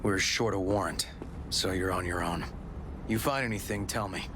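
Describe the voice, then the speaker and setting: An actor playing a hard-nosed male detective in a video game, gruff, wry and confident. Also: sits low, moderate pace, natural voice. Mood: cynical, dry. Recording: voice under loud street and vehicle noise.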